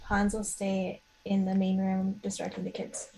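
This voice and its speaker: A woman's voice: medium pitch, monotone, unhurried, warm tone, a little hiss or hum, low-quality recording. A woman chatting casually as a player in a tabletop game. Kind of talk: casual chat during a game.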